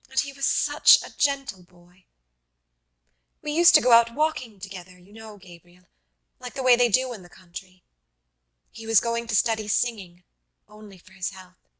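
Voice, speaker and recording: A woman narrator plays a young wife mourning a lost love in an audiobook story. Her voice is veiled and sorrowful, with halting phrases and a bright, aching tone. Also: on the high side, rough recording. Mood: tender, wistful. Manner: sad, halting.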